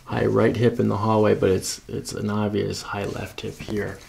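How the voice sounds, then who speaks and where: A man's voice: on the low side, level tone, moderate pace, creaky, a little mellow, slight background noise. A man in his thirties working as a chiropractor, talking a patient through a hands-on exam with instructions and short check-in questions. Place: small carpeted clinic treatment room. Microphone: microphone on a boom arm near the table.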